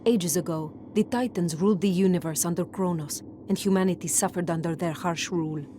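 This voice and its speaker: An actress playing a calm, commanding goddess who guides a hero, speaking in a solemn, measured storytelling tone. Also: female voice, on the low side, background noise, close to an everyday voice. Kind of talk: game cutscene narration.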